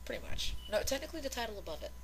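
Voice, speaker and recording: A woman's voice: on the high side, sing-song, very fast, a bit bright, slight background noise, rough recording. A woman co-hosting in casual banter, playful and teasing in the way she talks. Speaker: co-host and voice performer.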